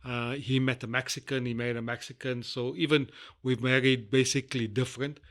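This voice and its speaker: A middle-aged South African man being interviewed, talking in a rambling, halting way with many fillers. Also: mid-range voice, flat delivery, a bit bright. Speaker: guest. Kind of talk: casual interview chat.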